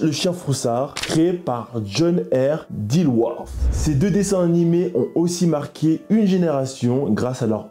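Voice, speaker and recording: Young man, mellow, lightly high-pitched. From Switzerland. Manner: informal, teasing, slangy. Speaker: YouTube host. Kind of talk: casual scripted narration with joking asides.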